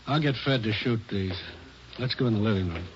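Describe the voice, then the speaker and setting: An actor playing a methodical, unhurried police crime lab technician, muttering terse observations in 1940s radio drama style. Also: male voice, on the low side, natural voice. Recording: muffled, noisy 1940s radio recording.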